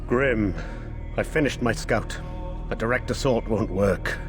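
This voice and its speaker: A man's voice: fairly low pitch, a little slow, over music, natural voice. A man playing a dutiful, grim scout in a video game, reporting plainly and earnestly. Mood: grim, urgent. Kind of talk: dramatic video game acting.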